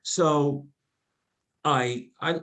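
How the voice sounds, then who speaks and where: A man guest on a podcast, telling his story in a casual, animated way, laughing often and restarting his sentences. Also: on the high side, extremely slow. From Afghanistan, raised in the United States.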